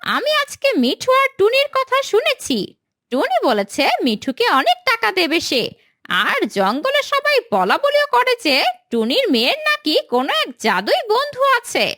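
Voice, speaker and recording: Scheming cartoon crow: extremely high, wildly animated, greedy and gossipy. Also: female voice, moderate pace, no room sound, clean studio sound, full character voice. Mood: conspiratorial.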